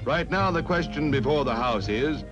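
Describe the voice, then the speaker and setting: Loud music runs under a fairly high-voiced American man narrating a 1950s newsreel. He delivers his script as a dramatic announcer, on a vintage film soundtrack. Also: expressive, normal speed, muffled recording.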